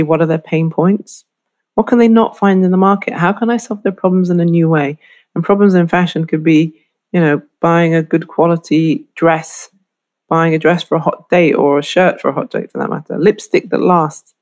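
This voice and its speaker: British woman lecturer explaining clearly. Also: sits low, a little mellow. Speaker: fashion marketing lecturer. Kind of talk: instructional talk to camera.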